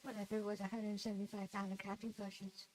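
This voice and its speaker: A woman's voice: mid-range voice, little pitch movement, fast talker, darkish tone, slight background noise, rough recording. A woman livestreaming a game, talking casually in a nasal voice, rambling and giggling at herself. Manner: rambling, giggly, self-deprecating. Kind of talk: casual gameplay chat.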